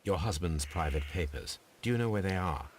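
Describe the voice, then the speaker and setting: A man playing a composed, analytical middle-aged Victorian detective in a video game, speaking formally and deliberately with a British accent. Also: low-pitched, expressive, slight background noise. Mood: polite questioning. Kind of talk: video game dialogue and musing aloud.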